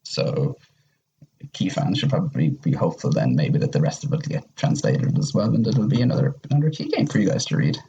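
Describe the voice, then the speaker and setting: A man's voice: low-pitched, very animated, a little fast, gravelly, dark tone, muffled recording, a little hiss or hum. A man chatting casually as a podcast co-host, rambling and hesitant, with casual swearing. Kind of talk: casual chat about visual novels.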